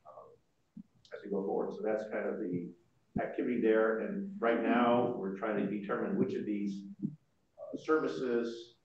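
An older man presenting informally at a board meeting, explaining things in a halting way. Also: lowish voice, fairly even intonation, background noise. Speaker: association board member presenting. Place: large indoor meeting room. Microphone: far room microphone. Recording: muffled, very echoey room, distant mic.

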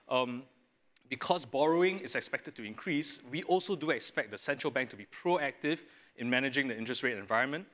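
Bright-voiced young man explaining markets. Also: medium pitch. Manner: fluent, explanatory, with small restarts. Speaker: investment strategist panelist. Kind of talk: panel discussion answer. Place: studio stage set. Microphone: lapel mic.

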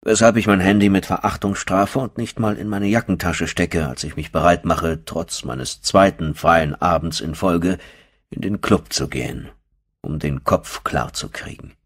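Deep, husky male audiobook narrator. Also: measured pace. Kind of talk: first-person horror audiobook narration.

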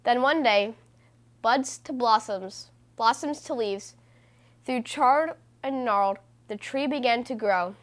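A woman reading a children's book aloud as host, in a measured, deliberate way with stressed phrases. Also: a little high. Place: small room indoors.

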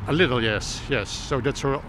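A man's voice: mid-range voice, normal speed. A man answering a street interview outdoors at a handheld mic, hesitating and searching for words. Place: outdoors near a tent. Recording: outdoor traffic noise.

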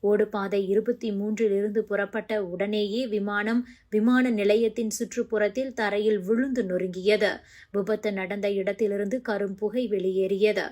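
Woman, medium pitch, news narrator, scripted delivery. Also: flat delivery, moderate pace, a little mellow.

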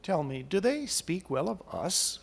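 A man voicing a house that speaks of itself as "we", calm, formal and full of wonder. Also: high-pitched, a little slow, creaky, breathy edge, pitched above the performer's own voice, full character voice. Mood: solemn. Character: an ancient, solemn house speaking as "we".

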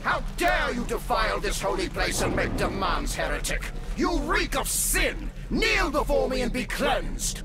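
An actor playing a fanatical, wrathful priest in a video game, sermonizing theatrically in a drawn-out delivery. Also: male voice, very high voice, sing-song, over loud music, exaggerated voice. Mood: menacing piety. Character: fanatical, wrathful male priest ranting of sin and punishment. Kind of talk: dramatic video game acting.

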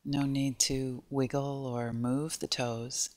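Slow, gentle woman guiding meditation. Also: a bass voice, flat delivery, no room sound. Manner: gentle, unhurried, pausing between short phrases. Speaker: meditation guide. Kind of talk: guided body scan meditation.

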